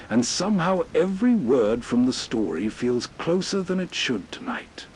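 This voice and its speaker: Husky breathy guy narrating moody late night radio intro stuff, scripted, mid pitch. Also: darkish tone. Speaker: narrator host. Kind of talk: slow atmospheric scripted narration, bedtime mood.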